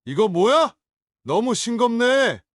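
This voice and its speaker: An actor playing a drunk, contemptuous adult son in an audio drama, talking in curt, scornful demands. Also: male voice, extremely high pitch, sing-song, takes it slowly, no room sound, clean studio sound, exaggerated voice.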